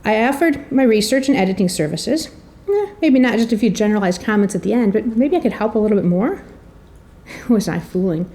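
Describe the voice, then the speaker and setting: A woman introduces a speaker at a public presentation. Her voice is mellow and dark-toned, and she delivers her prepared speech like a story, theatrically, with rhetorical questions and deliberate pauses. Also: mid-range voice, expressive. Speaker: editor and marketing director introducing an author.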